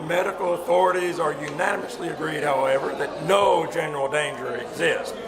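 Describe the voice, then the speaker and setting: A man's voice: a little high, brisk, natural voice. An actor playing a skeptical, dismissive male scientist in a live old-time radio drama, with a wry manner. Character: skeptical, dismissive male physiologist chatting with colleague. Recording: roomy live venue, loud crowd noise.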